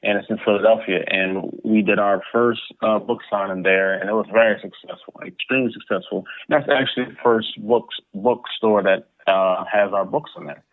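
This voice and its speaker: A man's voice: fairly low pitch, dry recording. A man being interviewed as a guest, chatting casually and informally, often repeating words and phrases as he goes. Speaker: interview guest, comic book publisher. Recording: muffled phone-like line.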